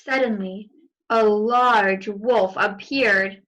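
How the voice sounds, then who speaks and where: A woman's voice: mid-range voice, extremely slow, fairly bright tone, highs cut off. A woman reading a children's story aloud expressively, with asides to young listeners. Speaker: storybook reader.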